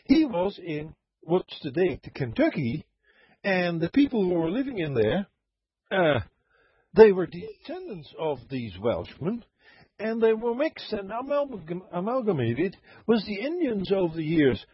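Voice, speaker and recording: A man calling into an online voice chat show, telling a story in a halting, rambling way with many fillers and frequent laughs. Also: high voice, big pitch swings, breathy edge, no echo, lo-fi sound. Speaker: caller.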